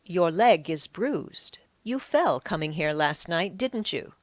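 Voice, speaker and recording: A woman playing a shrewd, measured male Egyptian sleuth. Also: fairly low pitch, expressive, no echo. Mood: probing. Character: shrewd male ancient Egyptian slave investigator posing as fortune-teller. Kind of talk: audiobook character acting.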